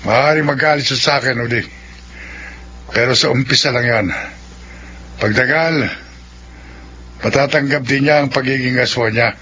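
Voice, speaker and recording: A man with a Filipino accent acting a scheming, lovesick male aswang in a radio horror drama, theatrical and deliberate. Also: mid-range voice, bright, forceful tone, slightly rough. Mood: smug, confident. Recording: dull radio recording with humming noise.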